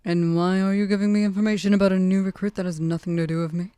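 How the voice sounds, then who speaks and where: A woman's voice: mid-range voice, level tone, fast, dark tone, clean tone, no room sound, clean studio sound, close to an everyday voice. A woman playing a roguish assassin in an ASMR audio drama, cheeky and dramatic, with a wheedling way of talking. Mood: guarded. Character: roguish, pleading assassin, the queen's troublesome cousin. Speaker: voice actor in an audio roleplay.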